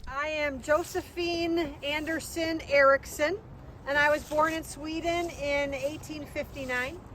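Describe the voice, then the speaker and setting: A woman portraying a devout immigrant of the 1800s recalling her life. Her voice is high, her telling plain and halting, like everyday conversation. There is faint outdoor noise behind her. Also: steady tone, fairly bright tone. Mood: reflective. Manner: hesitant, plain, conversational reminiscing. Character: Swedish-born immigrant widow's daughter, devout Lutheran woman looking back. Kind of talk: first-person historical reenactment. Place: outdoors in a cemetery.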